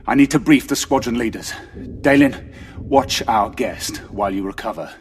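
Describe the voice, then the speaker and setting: A man's voice: mid-range voice, moderate pace, slight background noise, natural voice. An actor playing a weary, stern male military commander who gives clipped orders. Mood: brusque. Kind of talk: audio drama acting.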